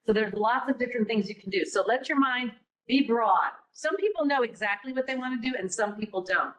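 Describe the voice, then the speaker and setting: A woman's voice: a little high, animated, a little fast, rough recording. A middle-aged woman, a program director, giving an informal, encouraging talk to students in a classroom without a mic, asking many rhetorical questions. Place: classroom with a live audience. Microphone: no mic on, room recording.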